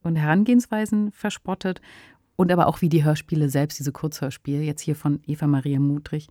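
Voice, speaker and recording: A woman's voice: low-pitched, steady tone, a little fast, mellow, no echo, studio quality. A woman co-hosting a podcast, discussing fiction in a conversational, explanatory way. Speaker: podcast co-host, fiction writer.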